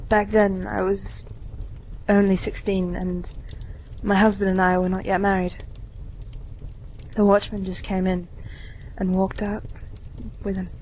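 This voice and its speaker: A woman playing a kindly, weary widowed lady in an amateur radio play, with a measured, genteel delivery. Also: medium pitch, level tone, darkish tone, a little hiss or hum, natural voice, low-quality recording. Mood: sorrowful.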